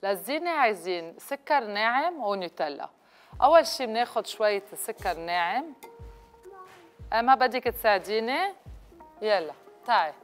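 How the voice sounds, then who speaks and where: Lebanese lady doing a recipe on a cooking show, mid pitch voice, bit of english mixed in, music behind her. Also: lively intonation, moderate pace, pauses often. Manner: mixes English words into speech. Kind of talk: presenting a recipe to camera. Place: kitchen set, indoors.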